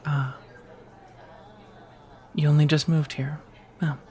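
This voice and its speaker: A woman's voice: low-pitched, little pitch movement, slow. A woman playing a friendly, teasing firefighter on a date in an intimate, casual ASMR romance roleplay, chatty and reassuring. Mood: friendly, curious. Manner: chatty, playfully wry, reassuring, sprinkled with soft laughs.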